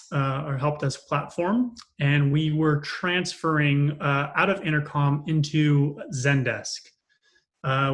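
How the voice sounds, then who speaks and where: A man giving an unscripted customer testimonial as an operations manager, speaking hesitantly with frequent mid-sentence pauses. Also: fairly high pitch.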